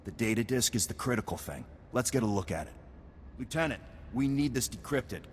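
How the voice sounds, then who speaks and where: A man's voice: animated, brisk, lightly acted. A man playing an earnest, grave military officer in a science fiction video game. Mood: grave, decisive. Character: earnest, grave Republic military commander at an ice outpost. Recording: boomy hall reverb, noisy game ambience.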